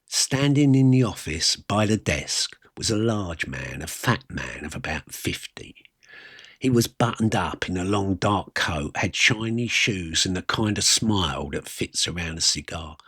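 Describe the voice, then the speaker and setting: A man narrating a first-person story aloud, reading literary prose with dramatic pauses and a husky voice. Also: low-pitched, lively intonation, fairly bright tone, dry recording, studio quality.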